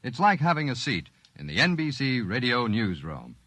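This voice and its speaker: A man's voice: mid-range voice, big pitch swings, moderate pace, a bit bright, dry recording. An American man reading a scripted 1950s radio promo with emphatic, polished delivery. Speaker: radio announcer. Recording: vintage radio broadcast.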